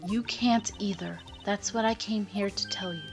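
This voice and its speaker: A woman voicing a calm, firm spirit mentor in a game fan dub, earnest and encouraging. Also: mid-range voice, moderate pace, faint music behind, natural voice. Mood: calm, urgent.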